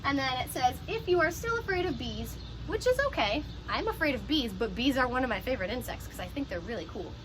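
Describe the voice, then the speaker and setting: Woman, high voice, teacher doing a children's read-aloud with simple explanations, noticeable background noise. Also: animated, fast talker.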